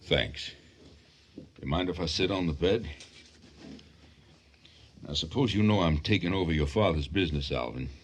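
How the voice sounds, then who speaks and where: A very low-voiced middle-aged man in a radio play, a suitor who keeps reasoning and pressing his case. His voice is husky and his manner measured and persuasive. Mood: patient, firm. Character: persistent, reasoning suitor and businessman, grown man. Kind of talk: radio drama acting.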